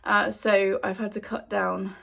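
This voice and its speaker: Chatty woman with a gravelly voice. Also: mid-range voice, little pitch movement, a little slow, fairly bright tone. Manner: chatty, rambling, with frequent ums. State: breathless and wheezy from a cough. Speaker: book vlogger. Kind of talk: casual vlog chat. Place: at home.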